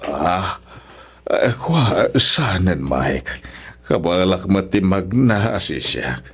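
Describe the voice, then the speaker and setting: A man's voice: low voice, sing-song, slow, breathy edge, exaggerated voice. A man with a Filipino accent acting a proud, stubborn, grumpy old father-in-law in a radio drama. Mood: proud, reluctant.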